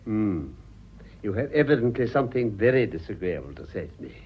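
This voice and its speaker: Casting: urbane older British husband, wry and avuncular, breathy voice with hugely varied intonation, radio stage comedy, lo-fi recording. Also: male voice, on the low side, very animated, fairly quick. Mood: teasing. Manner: dry, ironic, avuncular. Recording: slightly dull, lo-fi audio.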